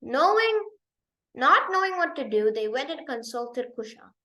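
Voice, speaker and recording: A woman's voice: high voice, sing-song, unhurried, pushed and bright, roomy sound. A woman narrating a story as a read-aloud, now and then stumbling over words and repeating them.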